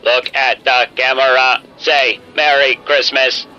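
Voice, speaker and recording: An actor playing a hearty but short-tempered store Santa in an audio drama. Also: male voice, medium pitch, flat delivery, slow pace, bright, forceful tone. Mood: jolly. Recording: dull band-limited sound, faint music.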